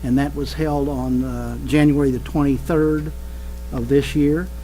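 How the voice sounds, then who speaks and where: A man, a city councilman, making announcements at a meeting in an earnest, halting way with many pauses. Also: mid-range voice, fairly even intonation, dark tone, slight background noise, rough recording. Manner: hesitant, many uhs, earnest. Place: council chamber.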